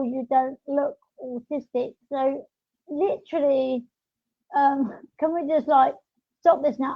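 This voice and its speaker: High-voiced woman, lo-fi sound. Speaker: podcast host. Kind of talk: casual podcast chat. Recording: dull lo-fi audio.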